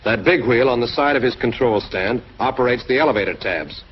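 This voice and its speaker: A man's voice: medium pitch, fairly quick, a little hiss or hum. A man giving scripted instructional narration for a training film, speaking straight to the listener in a direct, instructive way. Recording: dull lo-fi 1940s film soundtrack.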